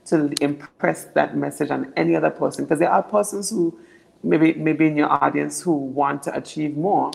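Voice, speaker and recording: A young woman from Anguilla with a naturally deep voice, answering interview questions earnestly and often repeating words as she builds her points. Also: gravelly, darkish tone, low-quality recording. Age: young adult. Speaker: political candidate.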